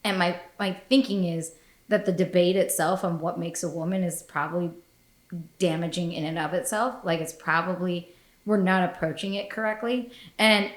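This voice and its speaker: Blunt, profane woman podcast host. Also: on the low side. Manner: blunt and profane, laughs often. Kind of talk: casual podcast chat. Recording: echoey room.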